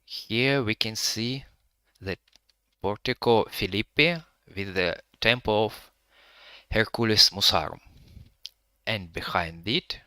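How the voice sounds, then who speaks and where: A man with a Russian-Ukrainian accent leads a live virtual tour in slow, hesitant English, often pausing and filling gaps as he searches for words. His voice is bright and rather low, recorded close and dry with no room sound. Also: smooth, no echo. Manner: halting non-native English with frequent fillers.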